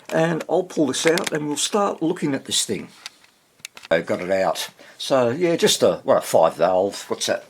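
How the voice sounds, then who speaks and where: A man hosting a casual, unscripted radio repair walkthrough, thinking aloud hesitantly and muttering questions to himself, in a husky, gravelly voice. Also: mid-range voice, slight background noise. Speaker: radio repairer and video host.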